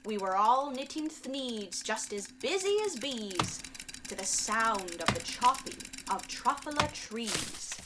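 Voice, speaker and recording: A woman performer reading a rhyming storybook aloud in an expressive, dramatic, theatrical way. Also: a little high, slow pace, heavy background noise.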